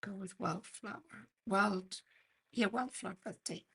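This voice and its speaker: Female Australian craft host, gravelly and croaky, slow and chatty, talking through hands-on work live. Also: mid-range voice, fairly even intonation, fairly bright tone. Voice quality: husky, croaky. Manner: unhurried, chatty, murmuring to herself while working. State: croaky voice, clearing throat. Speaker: host of a craft demonstration livestream. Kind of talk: casual live craft tutorial, talking while working.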